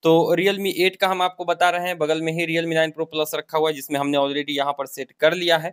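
A man's voice: a little high, little pitch movement, moderate pace, clear, resonant tone, no room sound, clean studio sound. A man presenting an informal step-by-step tech tutorial, explaining things directly to viewers.

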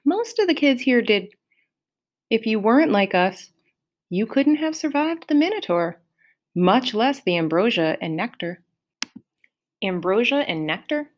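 A confident, know-it-all teenage girl from a storybook, pitched well up and pushing her words out a little louder than the narration, as a woman reading aloud puts her on. She explains things earnestly and quickly. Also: animated. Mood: insistent.

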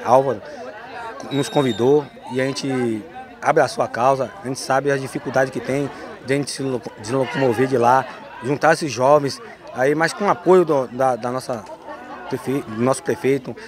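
Man in his 40s, earnest coach, hesitant, crowd noise from a football ground behind him. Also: medium pitch. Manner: earnest, hesitant with many fillers. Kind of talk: outdoor interview. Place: stadium stands outdoors. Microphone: handheld mic. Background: crowd at a youth football match.

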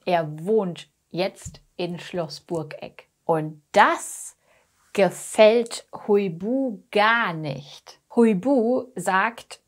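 A young woman tells simple stories as a language teacher. She speaks extremely slowly at a medium pitch, pausing between short phrases and stressing the key words. She wears a lapel mic in a small room. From Germany. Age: young adult. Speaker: German teacher. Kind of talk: slow simplified storytelling lesson for language learners. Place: small attic room indoors.

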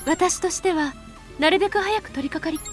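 An actress playing a poised, serious young woman gunner in an anime game, speaking in a measured, concerned way. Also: very high voice, soft background music. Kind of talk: Japanese anime game voice acting.

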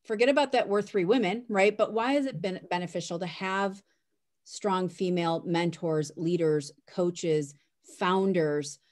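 A woman's voice: on the low side, unhurried, a little mellow. A middle-aged woman hosting a webinar panel over a video call, chatty and self-deprecating as she introduces the guests. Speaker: host and moderator. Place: home room, indoors.

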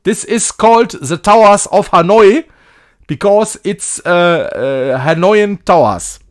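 A man's voice: high voice, animated, takes it slowly. A man doing casual, jokey live-stream commentary as he plays a game, chatty and self-ironic. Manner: jokey, chatty, self-ironic, slipping into mock-grand English.

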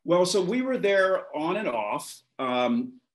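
A man's voice: high-pitched, very animated, takes it slowly. A man in his fifties with an American accent, chatting casually as an interview guest on a video call. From born in France to American parents, raised between Europe, Washington and West Africa. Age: 50s. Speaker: interview guest, maritime professional. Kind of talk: casual reminiscing in a video conversation. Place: wood-beamed room at home, indoors.

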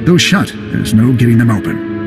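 A man's voice: medium pitch, expressive, normal speed, lightly acted. An actor playing a confident, swaggering male army colonel with a self-assured manner in a video game cutscene. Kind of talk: dramatic game cutscene acting. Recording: game music behind the voice.

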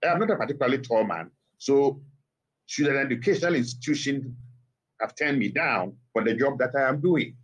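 A middle-aged man with a Ghanaian accent, a guest speaking by phone in a TV interview, measured and emphatic with pointed rhetorical questions. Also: medium pitch, husky, lo-fi sound. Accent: Ghanaian English. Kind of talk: TV interview commentary. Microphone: phone line.

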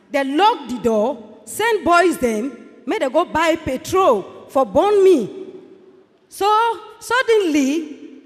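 A middle-aged woman with a Cameroonian accent giving a pleading personal testimony into a handheld microphone. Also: high-pitched. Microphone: handheld microphone held for her. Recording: echoey large church hall.

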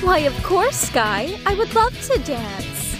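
A woman playing a sweet, dreamy, friendly teenage fairy in a cartoon fandub, gentle and earnest. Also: very high voice, very animated, slow pace, loud music behind the voice, full character voice.

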